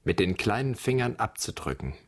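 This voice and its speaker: An actor playing a brooding son alone in his dead father's flat, speaking a poetic radio monologue in terse, intense fragments. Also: on the low side, normal speed, in a large hall. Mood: haunted remembering.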